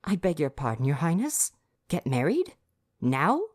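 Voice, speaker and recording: A man's voice: on the high side, wildly swooping pitch, unhurried, no room sound, studio quality. A man playing a prim, devoted royal butler with formal, fretful diction in an ASMR roleplay. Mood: scolding, prim.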